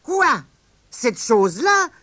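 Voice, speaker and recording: A man's voice: very high-pitched, animated, slow pace, fairly bright tone, no echo, voice pushed up, exaggerated voice. A man voicing an eager, polite young boy.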